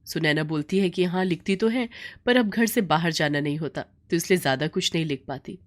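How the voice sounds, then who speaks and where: A woman reading a scripted moral story as the storyteller. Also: fairly low pitch.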